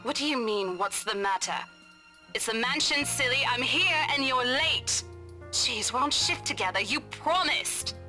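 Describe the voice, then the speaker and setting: A woman's voice: sits high, expressive, measured pace, sharp tone, faint music behind, full character voice. An actress playing a chatty young woman realtor, breezy, teasing and skeptical, in a visual novel game. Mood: exasperated.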